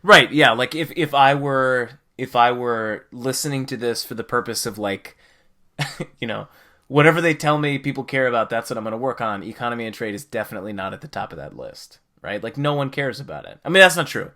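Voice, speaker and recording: A man with a bright, forceful voice of average pitch hosts a casual podcast discussion. He talks conversationally and reflectively, often tagging his points with a quick check for agreement. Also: expressive. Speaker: podcast host.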